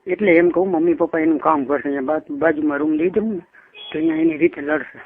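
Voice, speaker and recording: A man's voice: fairly high pitch, fast talker, breathy edge. A man with a Kathiawari Gujarati accent, chatting casually and privately on the phone. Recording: tinny phone call recording.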